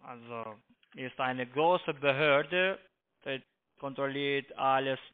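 A man with a foreign accent, not a native speaker, pushing a halting, repetitive sales pitch over the phone as a call-centre agent. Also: clean tone. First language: not German. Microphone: telephone. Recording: tinny narrow phone line.

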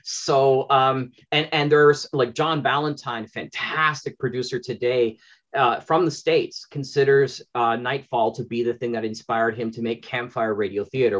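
A man's voice: medium pitch, fairly bright tone. Enthusiastic Canadian man on a dull-sounding call. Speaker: interview guest, audio drama producer. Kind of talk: casual chat, telling anecdotes. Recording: slightly dull, lo-fi video call.

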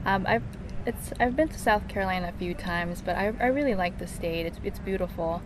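A woman's voice: medium pitch, a little fast. Polite young woman from Korea, outdoor noise. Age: 20s. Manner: polite, soft-spoken, laughs lightly. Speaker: professional golfer, guest. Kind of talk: interview answers. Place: outdoors on a lawn at a golf course. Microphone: handheld mic held by interviewer.

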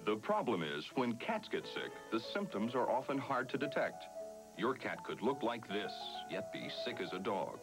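A man's voice: medium pitch, sing-song, moderate pace, music in the background, rough recording. A man reading an informative scripted public service announcement.

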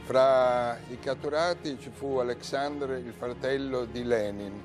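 A man hosting a history show in a TV studio, speaking in a measured, formal way. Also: mid-range voice, steady tone. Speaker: TV show host. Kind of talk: hosting, scripted closing narration. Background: music.

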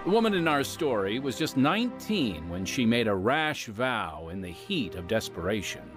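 A man narrating a scripted radio program with solemn, measured emphasis. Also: mid-range voice, very animated, music in the background.

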